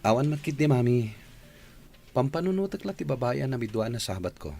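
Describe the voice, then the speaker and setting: A man's voice: medium pitch, big pitch swings, fairly quick, vocal fry, warm tone, faint music behind. A man playing a grumbling young son in radio drama, with an Ilocano accent. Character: young man grumbling to his mother about a snobby woman.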